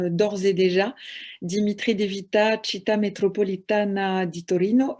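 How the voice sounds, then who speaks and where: A woman's voice: mid-range voice, a little mellow. Woman moderating a panel, hesitant fillers. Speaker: round-table moderator.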